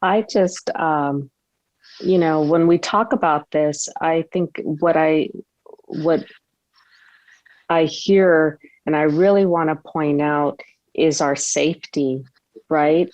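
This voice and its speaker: Female workshop speaker, low register, unhurried and halting, conversational, dry video-call sound. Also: fairly even intonation, darkish tone, no room sound, muffled recording. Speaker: guest speaker leading a workshop. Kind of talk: conversational presentation on a video meeting. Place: online video meeting.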